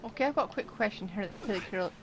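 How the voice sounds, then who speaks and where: A woman's voice: mid-range voice, expressive, fast, slight background noise, rough recording. A woman giving casual, playful gameplay commentary, muttering reactions to herself as she streams. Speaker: game streamer.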